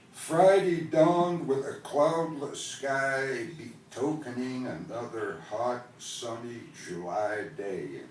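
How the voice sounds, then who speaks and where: A man from Canada reads literary prose to a live venue at a slow pace. His phrasing is measured, with deliberate pauses. The sound is distant and heavily echoing, with faint noise underneath. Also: mid-range voice, a little mellow. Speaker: author reading his own work. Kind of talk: literary prose reading at a book launch. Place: indoor event venue, recorded from the audience.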